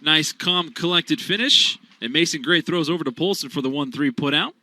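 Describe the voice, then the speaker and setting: A man calling a college baseball game as radio play-by-play announcer, steady between pitches and rising loud on big plays. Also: moderate pace, dry recording.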